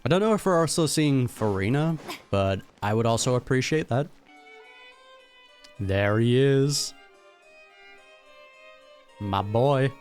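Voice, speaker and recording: A man's voice: mid-range voice, animated, takes it slowly, long pauses, darkish tone, faint music behind. A young man giving casual, informal live reaction commentary over a game, talking back to the characters. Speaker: gaming reaction creator.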